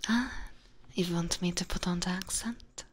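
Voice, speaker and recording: A woman livestreaming ASMR, chatting gently to her viewers in a soft, breathy whisper close to a binaural microphone. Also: lowish voice, unhurried. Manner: gentle, chatty, giggly, addressing chat.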